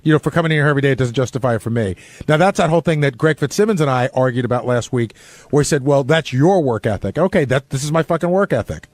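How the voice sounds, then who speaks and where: A man's voice: medium pitch, fast, dry recording. A man in a frank radio discussion, speaking earnestly and confrontationally, sometimes swearing. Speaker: radio show staffer and panelist.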